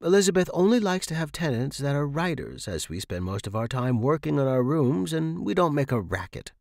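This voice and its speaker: A man's voice: on the high side, sing-song, brisk, gravelly, darkish tone, no echo, clean studio sound, pitched above the performer's own voice. A man voicing a stern, bored female housemate who speaks in a curt, insistent way in a narrated story. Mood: reassuring.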